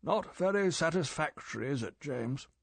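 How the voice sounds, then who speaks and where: A man's voice: a little high, lively intonation, moderate pace, creaky, husky, no echo, pitched above the performer's own voice, heavily acted. A man reading an audiobook, voicing M, a stern older male spy chief who lectures in a clipped, scolding way. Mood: stern lecturing.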